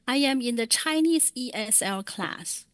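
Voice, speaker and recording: A woman's voice: fairly high pitch, expressive, measured pace, fairly bright tone, no echo. Woman interpreting at a meeting. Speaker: Mandarin interpreter. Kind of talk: consecutive interpreting at a public meeting.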